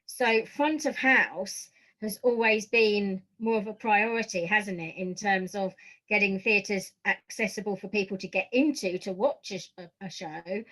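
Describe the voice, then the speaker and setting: British woman podcast host, medium pitch, chatty interview questions. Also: unhurried, fairly bright tone, poor audio. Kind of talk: conversational interview.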